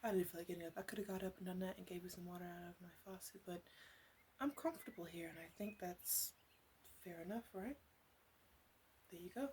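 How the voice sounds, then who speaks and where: A woman's voice: fairly low pitch, brisk, pauses often, darkish tone, lightly acted. A woman playing a flirty, doting, slightly bossy witch in an ASMR roleplay, rambling and hesitant as she slips in and out of whispers. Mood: soft, rambling. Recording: clearly audible background noise.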